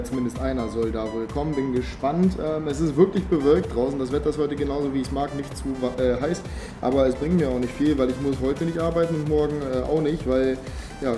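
A young man chatting casually to the camera in an upbeat, slangy way, with a slight cold. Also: mid-range voice. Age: young adult. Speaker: vlogger. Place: outdoors on residential streets and indoors in a living room. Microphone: phone or camera at arm's length.

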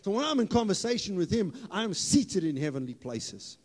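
A man, preaching a lively sermon on a church stage into a handheld mic, playful and building to loud emphatic bursts. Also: high voice, a little fast. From South Africa. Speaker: pastor. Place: church hall stage with audience. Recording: hall reverb, live church PA.

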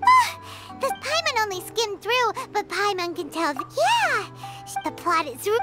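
A tiny, cheeky floating sprite with a very high, squeaky voice that bounces all over the place. She chatters about herself in the third person. Game music plays behind her. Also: huge pitch swings, unhurried, heavily acted. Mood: chatty. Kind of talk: video game voice acting. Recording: game audio under music.

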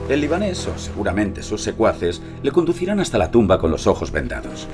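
An actor playing a composed, methodical investigator, telling and briefing in a measured, dramatic audio-drama style. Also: male voice, on the low side, sing-song, loud music behind the voice. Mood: briefing, businesslike. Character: composed, methodical male investigator narrating his operation.

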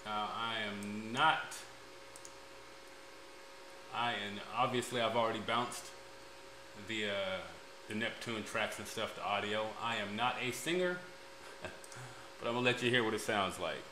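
A man with a deep voice talks through his production workflow in a relaxed, rambling way, filling gaps with "uh" as he works. The room rings back around him, and a steady noise hums under the recording. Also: a little slow, pauses often. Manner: laid-back, rambling, many fillers. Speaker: music producer. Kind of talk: casual software tutorial. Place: home studio room, indoors. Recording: echoey room with background noise.

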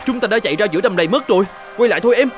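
A man's voice: high voice, animated, rapid-fire, music in the background. An actor playing an earnest, self-sacrificing young man in love in a cartoon folk tale, speaking with urgency. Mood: urgent.

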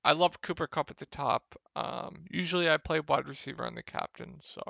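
A young man with a slightly hoarse, gravelly voice, casually talking through fantasy football picks over a screen share, with many ums. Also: fairly high pitch, fairly quick, no room sound, muffled recording. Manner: relaxed, lots of ums. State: a little hoarse. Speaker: fantasy sports analyst.

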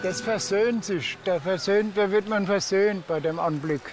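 A man's voice: sits high, a little slow, music in the background. An older man, a long-haul truck driver, talking hesitantly and sentimentally on a beach, often trailing off. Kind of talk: halting, emotional interview answers. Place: outdoors on a beach. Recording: outdoor beach with noise behind.

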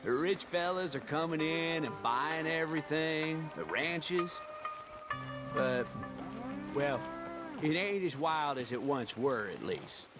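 Eager, folksy young cowhand, swooping pitch. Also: male voice, animated, takes it slowly, music in the background. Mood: friendly, admiring. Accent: rural American. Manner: folksy, hesitant, inquisitive. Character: eager, chatty, naive young male ranch hand. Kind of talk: video game character acting.

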